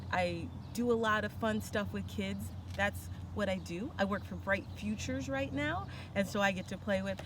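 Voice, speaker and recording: A woman who works as a children's storyteller, speaking casually and reading aloud for kids. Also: medium pitch. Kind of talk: animated read-aloud storytelling and casual introduction. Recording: clear ambient background noise.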